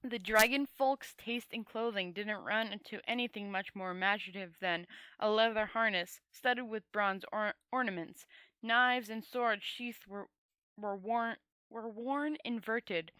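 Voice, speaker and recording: A woman's voice: medium pitch, measured pace, pushed and bright, no room sound. A woman storyteller reading a book aloud in an informal amateur style, often stumbling over words and correcting herself. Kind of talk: amateur read-aloud audiobook narration.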